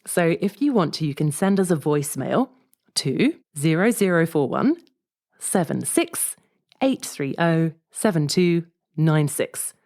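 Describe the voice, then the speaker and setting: A woman's voice: deep voice, very animated, a little mellow. A British woman in her thirties co-hosting a casual podcast, chatty and teasing. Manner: chatty, teasing, quick to laugh. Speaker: podcast co-host. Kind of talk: casual chat.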